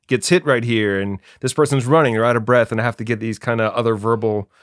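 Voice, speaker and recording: A man's voice: medium pitch, fairly even intonation, quick pace, clear, resonant tone, no room sound, studio quality. A man hosting a casual interview, asking informal questions in a somewhat hesitant way. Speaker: interview host.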